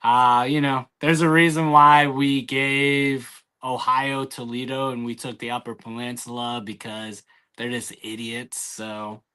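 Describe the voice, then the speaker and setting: A man's voice: mid-range voice, flat delivery, unhurried, sharp tone, smooth. A man chatting casually and jokingly, with drawling, hesitant trash talk.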